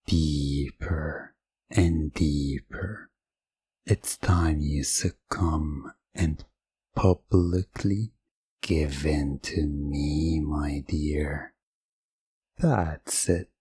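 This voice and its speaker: A man giving soft, commanding, repetitive hypnotic suggestions in an ASMR roleplay, close to the mic. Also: very deep voice, slightly rough, no echo.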